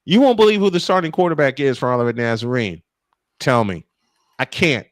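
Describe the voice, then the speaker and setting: A man calling live baseball play-by-play and telling stories in an animated, emphatic way, stretching phrases for drama. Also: medium pitch, brisk, no room sound, studio quality. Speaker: play-by-play sportscaster. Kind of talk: live baseball commentary mixed with animated storytelling.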